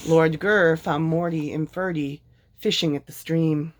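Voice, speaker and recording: A woman narrates a children's storybook. Her delivery is very unhurried, in a slightly low voice. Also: steady tone, slight background noise. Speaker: storybook reader.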